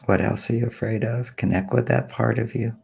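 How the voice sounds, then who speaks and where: A man with a mellow, gravelly voice leads a guided meditation. He talks slowly and softly, leaving long pauses, and his tone is husky and a little breathy. His pitch stays flat and even. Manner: slow, soft, soothing delivery with long pauses.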